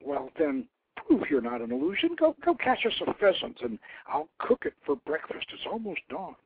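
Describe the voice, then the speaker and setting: Man putting on a high-pitched bold young woman. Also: huge pitch swings, normal speed, gravelly, phone-quality sound, background noise, heavily acted. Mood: teasing challenge. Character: bold, cunning young woman in an ancient legend. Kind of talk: dramatic storybook reading.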